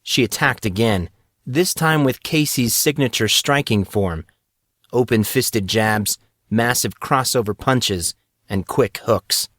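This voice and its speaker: A man narrating his own fantasy serial in a steady, measured storytelling manner. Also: mid-range voice, dry recording, clean studio sound. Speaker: author and narrator. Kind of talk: scripted fantasy audiobook narration.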